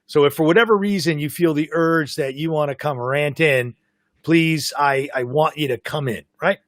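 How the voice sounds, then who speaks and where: A man hosting a live podcast, talkative and self-described as the loudest mouth of the group. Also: a little high, moderate pace, no echo, clean studio sound. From Canada. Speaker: podcast host. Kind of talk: casual live podcast chat. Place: home in South Florida.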